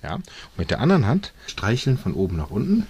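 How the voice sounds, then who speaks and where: A man's voice: fairly low pitch, huge pitch swings, fast, darkish tone, a little hiss or hum. A man, a falconer, explaining things and telling stories to children in spontaneous talk.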